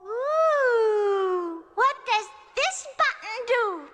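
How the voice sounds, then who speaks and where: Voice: very high-pitched, animated, extremely slow, full character voice. A performer playing a childlike cartoon character in an exaggerated style. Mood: curious.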